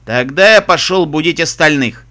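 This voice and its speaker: A man voicing a grumpy, greedy zebra smuggler captain in an audiobook, with a hoarse, gruff voice and barking sailor talk. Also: on the high side, normal speed, pushed and bright. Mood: haggling. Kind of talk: audiobook character voice.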